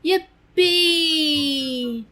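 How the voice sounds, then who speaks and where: A woman's voice: sits high, extremely slow, sharp tone, pitched above the performer's own voice, heavily acted. A woman voicing a playful but timid young male fox in a children's storybook, eager and pleading. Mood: gleeful. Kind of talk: children's storybook read-aloud.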